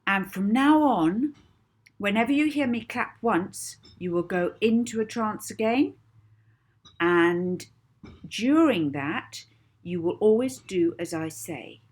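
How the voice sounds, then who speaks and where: A woman voicing a confident young girl in a children's audiobook, giving firm, deliberate instructions. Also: medium pitch, expressive, pitched above the performer's own voice. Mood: commanding.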